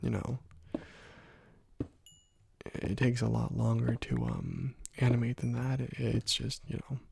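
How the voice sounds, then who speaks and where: A young man talks softly and closely into the mic while playing a game, half-whispering in a laid-back, rambling way. His voice is heavily gravelly and breathy-husky, and the room sound is completely dry. He drifts through long stories padded with fillers. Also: mid-range voice, level tone, measured pace, no room sound. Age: young adult. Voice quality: whispery and soft. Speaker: gaming ASMR channel host. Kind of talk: soft-spoken ASMR gameplay commentary. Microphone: close microphone.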